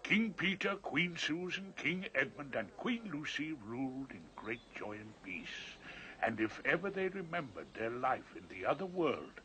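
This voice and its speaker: Film narrator, male: measured and calm, bright tone, expressive pitch movement. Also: low-quality recording. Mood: solemn, wistful. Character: storybook narrator, calm and solemn.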